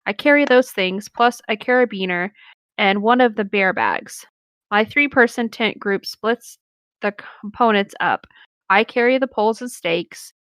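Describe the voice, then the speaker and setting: A woman's voice: mid-range voice, measured pace, fairly bright tone, smooth, no room sound. A woman co-hosting a story reading, narrating in the first person with brief casual reactions. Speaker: co-host reading stories aloud.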